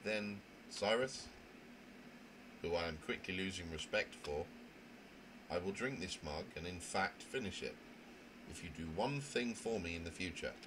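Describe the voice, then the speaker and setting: A man's voice: low voice, expressive, measured pace, pauses often. A man voicing a prim, indignant young narrator in a visual novel, with wordy, fussy, theatrical diction. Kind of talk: dramatic reading of a visual novel. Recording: noisy home microphone recording.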